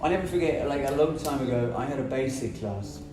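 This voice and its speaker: A man's voice: medium pitch, animated, fast. Man teaching, echoey distant mic. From Brazil. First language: Portuguese. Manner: emphatic, explanatory, speaks in short repeated phrases. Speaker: English teacher. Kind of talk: podcast conversation. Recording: roomy, distant mic, music behind.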